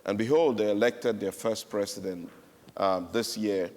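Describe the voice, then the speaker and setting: A man's voice: on the low side, a little slow, a little mellow. A man from Cameroon speaking on a panel, telling a story with emphatic repetition. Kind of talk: panel speech. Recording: echoey hall.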